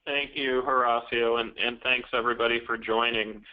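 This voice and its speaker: A man presenting an investment report as a chief investment officer on a conference call, in a measured, businesslike way. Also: medium pitch, little pitch movement. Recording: slightly dull conference-call audio.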